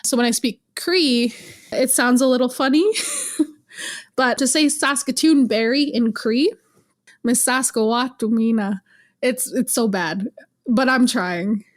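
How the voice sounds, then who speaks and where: A young woman from Canada, a guest in an interview, telling stories in a lively, teasing way and laughing often. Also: on the high side, a little slow. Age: young adult. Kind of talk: casual interview.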